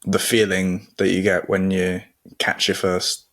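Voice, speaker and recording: A man hosting a sports podcast, speaking in a relaxed, chatty, encouraging way. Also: deep voice, a little slow. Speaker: podcast host. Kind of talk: casual interview chat.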